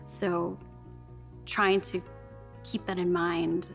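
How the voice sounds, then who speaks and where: A woman's voice: fairly low pitch, level tone, very slow, creaky, soft background music. A woman in her thirties from California's Central Valley, speaking earnestly and reflectively in an interview about her public policy career. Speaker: award recipient, public policy staffer. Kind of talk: recorded interview testimonial.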